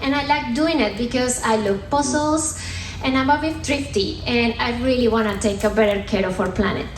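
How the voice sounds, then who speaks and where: A woman with a Colombian accent delivers a playful science talk from a theatre stage, teasing the crowd as she goes. Her voice sits a little high and rings out through a roomy hall, with faint ambience underneath. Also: normal speed, slight background noise. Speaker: sustainability manager giving a comedy science talk. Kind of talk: stand-up style talk on stage. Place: theatre stage at a comedy festival.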